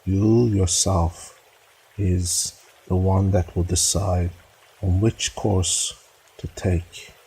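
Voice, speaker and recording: A man leading a guided meditation in a measured, solemn, instructive way, leaving pauses between phrases. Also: deep voice, steady tone, vocal fry, mellow, slightly rough, low-quality recording.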